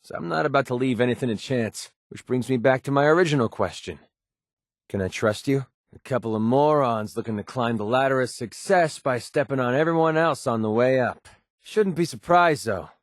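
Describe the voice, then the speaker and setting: An actor playing a cynical, wisecracking young mercenary for a video game, with an American accent and a streetwise, informal delivery. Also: male voice, medium pitch, normal speed, no room sound, studio quality, lightly acted. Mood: wary, irritated. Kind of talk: video game companion dialogue.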